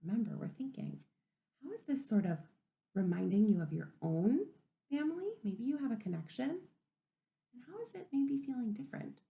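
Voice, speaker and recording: Woman, kindergarten teacher, picture-book read-aloud, animated intonation, noticeable vocal fry, question-asking manner. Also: a little high, moderate pace, highs cut off.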